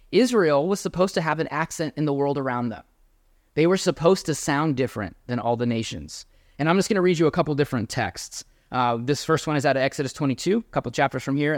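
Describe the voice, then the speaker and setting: Male pastor, emphatic sermon delivery, middle pitch, dry close sound. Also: dry recording. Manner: earnest, emphatic, addresses the congregation directly.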